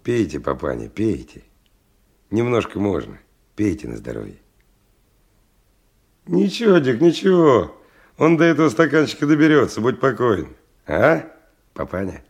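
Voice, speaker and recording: An actor in a radio drama playing a rough, hospitable son, speaking in a coarse, colloquial, coaxing way with a husky voice. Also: male voice, medium pitch, huge pitch swings, moderate pace, long pauses, full character voice. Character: rough, hospitable man coaxing his silent old father to drink.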